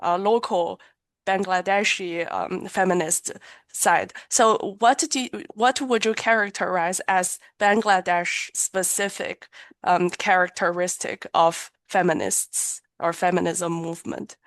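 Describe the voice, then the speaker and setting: A woman hosting an interview, asking questions in a calm, careful way. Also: on the low side, a little slow, no room sound. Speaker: interviewer, podcast host.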